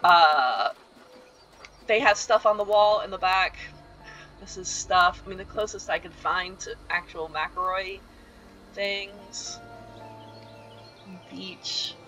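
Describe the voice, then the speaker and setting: A woman's voice: on the low side, takes it slowly, long pauses, sharp tone, faint music behind, low-quality recording. A woman giving a rambling, casual voice-over tour of a house built inside a video game, full of ums and side remarks. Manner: chatty and rambling, with frequent ums and asides.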